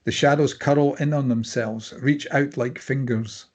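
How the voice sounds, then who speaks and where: A man with a slightly husky voice narrating stage directions from a screenplay at a table read. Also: medium pitch, fairly even intonation, a little slow.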